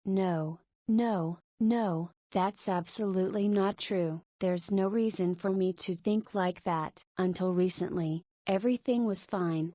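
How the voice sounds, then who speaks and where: Casting: woman as a fretful 40s single mom, mid-pitched voice, dry close mic. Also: warm tone, no room sound, natural voice. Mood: defensive. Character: anxious possessive single mother, 40. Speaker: character voice in a narrated visual novel. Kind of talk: scripted visual novel voiceover.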